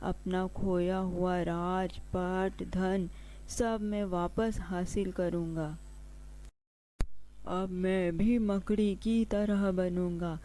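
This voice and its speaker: A man's voice: high voice, little pitch movement, drawn-out words, warm tone. A man playing a humbled, defeated king in a children's cartoon, speaking in earnest, declamatory monologue. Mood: humbled, resolved. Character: defeated king, despondent then humbled and resolved.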